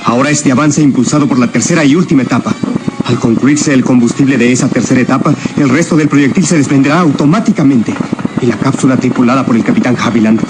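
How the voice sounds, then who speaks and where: A mid-pitched male voice by an actor plays a professor in a radio drama. His technical reports come fast, clipped and formal, through very heavy noise. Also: natural voice. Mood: tense, formal. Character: brisk, formal professor reporting rocket stages. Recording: very noisy old radio drama recording.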